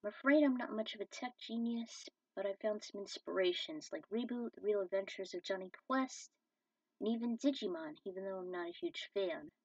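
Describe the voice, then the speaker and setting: A woman's voice: mid-range voice, lively intonation, moderate pace, vocal fry, darkish tone, breathy edge, no echo. A woman narrating and commenting on her own fan fiction as an amateur storyteller. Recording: dull, slightly distant microphone.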